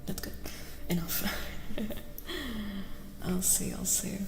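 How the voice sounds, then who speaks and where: A woman speaking softly and often whispering as she gives a casual, musing ASMR tarot reading. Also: mid-range voice, steady tone, takes it slowly, gravelly, background noise. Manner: hushed, often slipping into whispers. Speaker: streamer giving tarot readings. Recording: roomy reverberant sound. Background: soft music.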